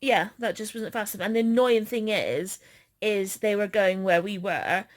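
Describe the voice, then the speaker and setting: A woman's voice: mid-range voice, normal speed. A woman vlogger chatting casually in a giggly way. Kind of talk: casual chat.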